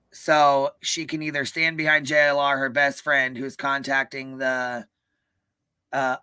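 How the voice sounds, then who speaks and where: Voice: medium pitch, sharp tone, smooth, dry recording. A livestream host chatting casually about events in an emphatic, profane way. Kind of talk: casual live commentary chat.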